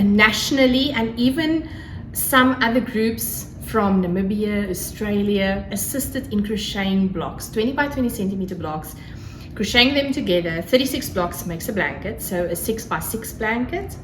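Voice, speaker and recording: Casting: South African woman, Afrikaans first language, gushing craft vlogger, leisurely delivery, medium pitch, faint fire noise behind. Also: expressive, unhurried, fairly bright tone. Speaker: craft podcast host. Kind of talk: casual solo vlog chat. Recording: roomy echo, faint background noise. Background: crackling fire, faint noise.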